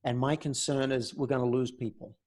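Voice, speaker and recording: Measured man coaching through a conversation. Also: medium pitch, fairly even intonation, a little mellow. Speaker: host, communication coach.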